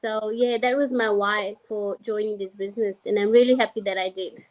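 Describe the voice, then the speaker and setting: A woman from Fiji, giving a personal testimonial as a guest on an online show, earnest and halting. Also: medium pitch, fairly even intonation, no room sound, low-quality recording.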